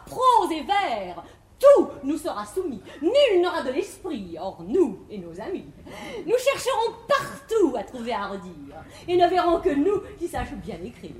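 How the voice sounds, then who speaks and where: Very high-pitched, haughty young bluestocking. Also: female voice, wildly swooping pitch, unhurried, full character voice. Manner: affected, exalted, declamatory. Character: haughty, zealous young bluestocking in love with philosophy. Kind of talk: classical French verse comedy on stage. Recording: echoey 1950s theatre recording.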